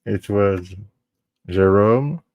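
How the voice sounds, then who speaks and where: Slow, low Belgian man speaking halting English. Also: no room sound, highs cut off. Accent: French-speaking Belgian. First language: French. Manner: halting, restarts often, mixes in French. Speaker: host of a true crime live show. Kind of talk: casual live-stream sign-off.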